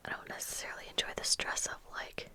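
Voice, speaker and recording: A young adult woman whispering softly and breathily into a close microphone in casual, rambling, unhurried ASMR chat. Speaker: ASMR video creator. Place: small room at home with bookshelves. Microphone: close desk microphone with fluffy windscreen.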